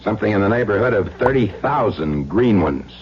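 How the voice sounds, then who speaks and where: Lively low-voiced slangy blackmailer played by an actor. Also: male voice, brisk, close to an everyday voice. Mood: hard, sneering. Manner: slangy tough-guy talk, taunting. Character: crude blackmailing husband back from presumed death, slangy and menacing. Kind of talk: old-time radio drama acting. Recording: dull lo-fi radio recording, faint hum.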